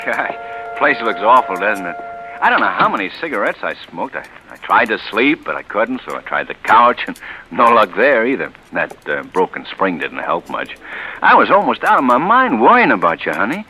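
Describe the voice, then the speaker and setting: Anxious tender husband, low coaxing voice for a vintage radio drama. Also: male voice, sing-song, moderate pace, rough recording. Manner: soft, coaxing, with nervous laughs. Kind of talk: 1940s radio drama acting. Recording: 1940s radio broadcast, faint hiss.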